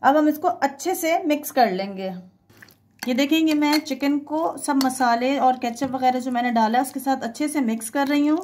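A woman's voice: sits high, moderate pace, a little mellow, slight background noise. A woman presents a home recipe step by step, conversational and instructional, mixing in English words. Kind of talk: step-by-step cooking tutorial voice-over.

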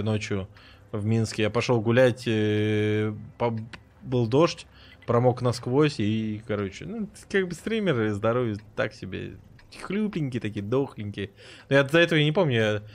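A man streaming a game live, chatting with viewers in a rambling way and reacting loudly to the action. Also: mid-range voice, slight background noise. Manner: excitable, rambling, swears, jumps between chatting and reacting to the game. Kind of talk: live gameplay commentary and chat.

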